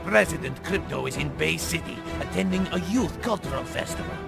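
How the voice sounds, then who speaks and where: An actor playing a scornful Soviet spymaster in a video game, speaking gravely and deliberately. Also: male voice, fairly high pitch, lively intonation. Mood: grave, menacing. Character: menacing, scornful Soviet spymaster briefing his comrades. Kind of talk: video game cutscene acting. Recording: dramatic music under the voice. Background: loud music.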